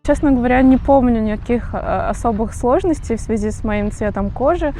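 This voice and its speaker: A woman born in Saint Petersburg and working in journalism and advertising, speaking calmly and reflectively in a documentary interview. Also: a little high, soft background music. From Saint Petersburg, Russia. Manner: calm and reflective, with measured phrasing and occasional "uh" fillers.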